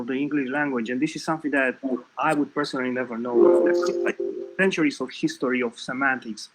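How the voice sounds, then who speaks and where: A man on a discussion panel musing aloud in halting phrases. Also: mid-range voice, a bit bright, rough recording.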